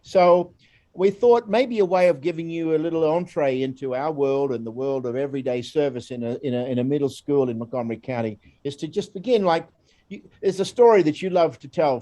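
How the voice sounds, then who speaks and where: A man's voice: on the high side, lively intonation, normal speed. An Australian man directing a program, giving an informal recorded presentation in a chatty, rambling way as he draws out his colleague. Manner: chatty, rambling, warm encouragement of his guest.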